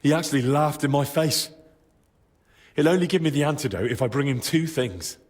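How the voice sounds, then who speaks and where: A man playing an earnest, determined young man in a medieval video game drama, speaking in a measured, respectful way. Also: on the high side, natural voice. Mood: urgent, grave.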